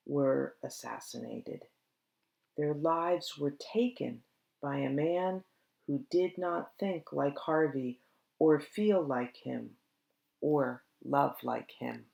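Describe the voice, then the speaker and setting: A woman reading a children's picture book aloud in measured, deliberate phrases with dramatic pauses. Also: sits low, gravelly, low-quality recording. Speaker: storybook reader at a church service.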